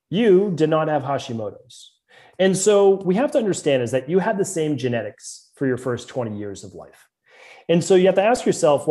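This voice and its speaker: A man's voice: fairly high pitch, dark tone. A man with a Boston accent, a naturopathic doctor explaining hormones and lab testing in an earnest, detailed way as a podcast guest. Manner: earnest, detailed, fluent medical explaining with frequent restarts. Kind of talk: explanatory interview talk.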